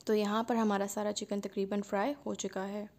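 A woman, hosting a cooking channel and calmly narrating a recipe step by step. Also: medium pitch, a little fast. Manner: calm step-by-step instruction.

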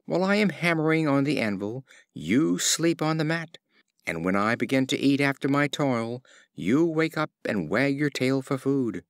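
A man's voice: medium pitch, expressive, normal speed, dry recording, studio quality, natural voice. A man voicing a scolding, hard-working master in a storybook fable.

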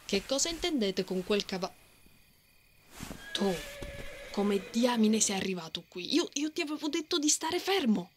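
A woman's voice: on the high side, lively intonation, a little slow, pauses often, a little hiss or hum. A woman playing a brave, stubborn and protective female knight in a fantasy ASMR roleplay. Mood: stubborn, defensive. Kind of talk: ASMR romantic fantasy roleplay, acted monologue.

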